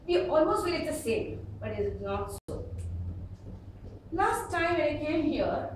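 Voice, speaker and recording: A middle-aged woman with a South Indian accent giving an expressive, humorous public speaking workshop with acted examples, recorded in a room at some distance from the mic. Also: sits high, measured pace. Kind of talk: animated workshop talk with acted examples and anecdotes. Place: indoor meeting room before an audience. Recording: echoey room, distant mic, faint noise.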